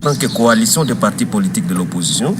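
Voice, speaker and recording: A man's voice: lowish voice, animated, quick pace, background noise. A man speaking as an opposition coalition spokesman in an interview, formal and listing, with hesitant restarts. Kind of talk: interview soundbite.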